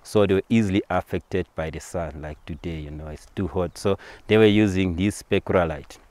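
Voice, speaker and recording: A man with a Southern African accent talks as a tour guide in a fairly low voice. He explains informally, often repeating a phrase. The sound is dry, with little room echo. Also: moderate pace, a little mellow.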